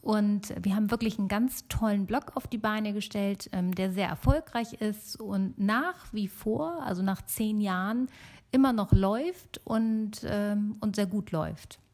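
A woman's voice: mid-range voice, measured pace, a little mellow. A middle-aged woman from Germany talking casually and alone as a podcast host.

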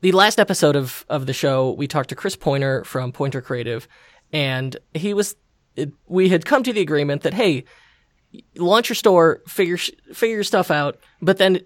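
An upbeat male podcast host with a somewhat high voice. He reads sponsor spots and chats with a guest in a lively, energetic way. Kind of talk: read ad spots and casual interview.

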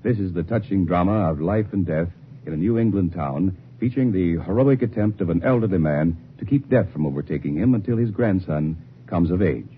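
A male network announcer reading scripted promotions in a lively, persuasive way. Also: low voice, brisk. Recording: tinny old radio broadcast with hiss.

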